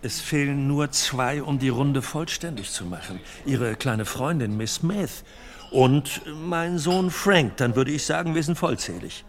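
An actor playing a cheerful middle-aged gentleman in a radio play, genial and confident as he talks about his son. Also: medium pitch, expressive, unhurried. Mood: hopeful.

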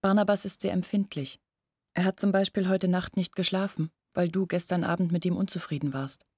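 A woman's voice: lowish voice, steady tone, fairly quick, darkish tone, husky, no room sound, studio quality. An actress playing an anxious, confiding young village woman in a literary radio drama, explaining her doubts earnestly and intimately.

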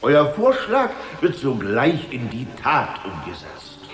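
Slow, stately fairy-tale Tsar. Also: male voice, mid-range voice, wildly swooping pitch, reverberant, music in the background. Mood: pleased, approving. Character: gullible, kindly old fairy-tale Tsar, fatherly ruler. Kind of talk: children's radio play acting.

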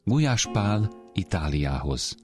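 Low male poetry reader over faint guitar. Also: big pitch swings. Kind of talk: literary poem recitation. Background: soft plucked guitar music.

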